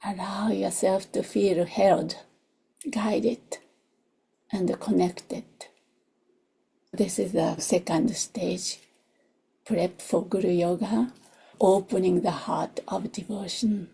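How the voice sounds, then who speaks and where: A woman who is not a native English speaker leads a guided meditation in a soft, gentle voice, in short unhurried phrases that often drop toward a whisper. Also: mid-range voice, steady tone, creaky, slightly rough. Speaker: meditation teacher. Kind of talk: guided meditation, slow and soothing.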